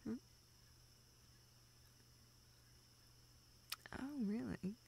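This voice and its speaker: A young woman playing a self-deprecating, vulnerable friend in an intimate audio roleplay, speaking in halting, self-interrupting dialogue. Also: a little high, gravelly, mellow, breathy edge, heavily acted, rough recording. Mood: gentle, awkward. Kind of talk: intimate, naturalistic one-sided dialogue.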